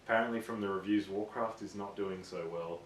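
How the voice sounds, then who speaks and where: A man's voice: on the low side, steady tone, brisk, slight background noise. A man co-hosting a gaming show in casual, informal chat, giving his opinions with enthusiasm. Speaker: co-host of a gaming video show. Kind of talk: casual chat about films and games. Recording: echoey room, distant mic.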